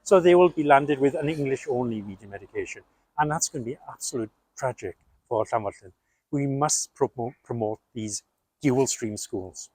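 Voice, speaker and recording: A man's voice: mid-range voice, moderate pace, darkish tone, husky, rough recording. A man from rural mid Wales with a Welsh accent, giving an interview answer as an election candidate.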